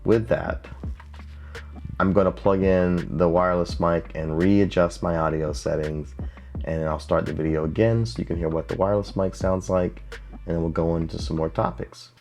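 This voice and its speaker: A man with a very low, deep voice talks through a casual video tutorial in a plain-spoken way, often repeating himself for emphasis. He speaks into a lav mic at a home desk, with faint music in the background. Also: fairly even intonation, moderate pace, darkish tone. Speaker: YouTube creator teaching video basics. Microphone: lav mic, wired then wireless.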